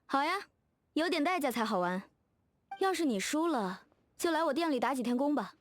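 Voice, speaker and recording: A woman's voice: sits high, big pitch swings, fairly quick. A woman voicing a confident, playfully competitive young fashion designer in a video game, direct and teasing. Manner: direct, self-assured, teasing. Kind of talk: scripted video game character dialogue.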